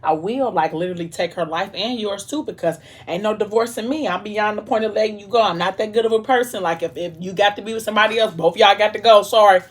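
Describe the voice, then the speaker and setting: A woman's voice: mid-range voice, fast, hardly any pauses, breathy edge, natural voice. A woman voicing a jealous, volatile, emotional wife in a retold romance story, with dramatic, blunt, colloquial delivery. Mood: jealous, defiant.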